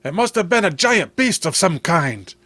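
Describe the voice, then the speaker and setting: A plain-spoken deputy from a horror comic, put on by a male narrator. He is grave and rustic, reassuring but firm. The tone is bright and forceful, with very little room sound. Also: high voice, very animated, moderate pace, dry recording, exaggerated voice.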